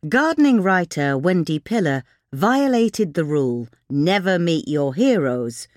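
A woman's voice: sits low, dry recording, clean studio sound. A woman reading a magazine article aloud as scripted narration.

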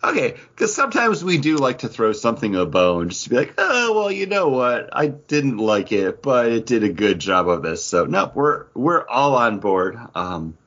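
A man co-hosting a casual comics podcast, talking in an animated way full of "like" fillers. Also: mid-range voice, moderate pace, hardly any pauses, muffled recording. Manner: animated, filler-heavy, frequent "like". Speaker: podcast co-host.

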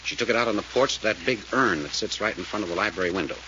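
An actor playing a commanding detective who explains a case emphatically, in the style of a 1940s radio serial. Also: male voice, fairly low pitch, rapid-fire, bright, forceful tone. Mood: intent, explaining. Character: brisk, commanding male detective explaining a murder. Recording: muffled, hissy old radio recording.

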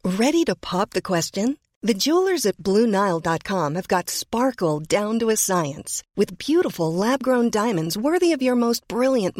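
A woman's voice: mid-range voice, dry recording, clean studio sound. A woman reading a commercial in a persuasive, polished manner. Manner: bright, persuasive. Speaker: ad voice-over. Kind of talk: scripted commercial read.

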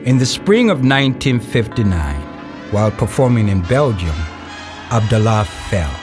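Low-voiced man narrating over music. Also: very animated, normal speed. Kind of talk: scripted audio play narration. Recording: music behind the voice.

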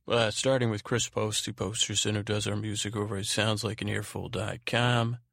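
Creaky-voiced, rambling male podcast host. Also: lowish voice, level tone, fairly bright tone, dry recording. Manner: meandering, halting, trailing off mid-thought. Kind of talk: rambling bedtime podcast monologue.

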